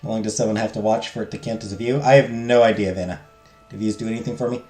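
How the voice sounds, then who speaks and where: A man streaming a visual novel, loudly reading the game text aloud and chatting with his viewers. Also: on the low side, steady tone, fast. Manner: loud, talks loudly. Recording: roomy echo, faint game music.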